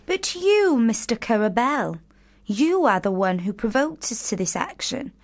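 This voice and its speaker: A woman's voice: a little high, big pitch swings, unhurried. A woman playing a playful, romantic young sister in a period radio drama, savouring her words theatrically. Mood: earnest.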